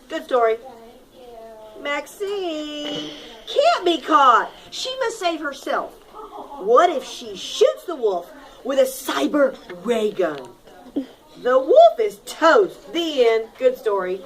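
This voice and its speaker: A woman reads a story to a class as a teacher would, in a high voice that swings widely. She takes her time and stretches key words for dramatic effect. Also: low-quality recording. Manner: expressive, dramatic, with drawn-out emphasis and call-and-repeat prompts. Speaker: primary school teacher. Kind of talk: animated read-aloud of a picture book to a class. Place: classroom with children.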